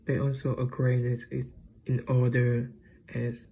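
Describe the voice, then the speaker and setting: A man from Vietnam with a Vietnamese accent gives an informal student presentation in halting, hesitant non-native English. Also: medium pitch, monotone, vocal fry, mellow.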